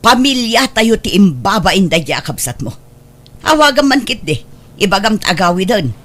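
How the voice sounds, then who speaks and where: A woman's voice: mid-range voice, very animated, moderate pace, fairly bright tone. A woman playing a stern, reproachful mother who scolds her daughters in a radio drama. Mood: scolding, exasperated.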